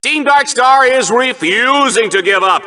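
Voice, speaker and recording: High, hammy, smarmy game-show sportscaster. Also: male voice, expressive, unhurried, pushed and bright, exaggerated voice. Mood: excited, admiring. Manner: theatrical, showy announcer delivery with exaggerated emphasis. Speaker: arena show commentator. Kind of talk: over-the-top sports commentary.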